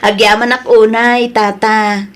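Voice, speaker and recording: A woman playing a lively, friendly young village wife in an Ilocano radio drama, expressive, with a Filipino Ilocano accent. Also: a little high, slow. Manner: animated, expressive.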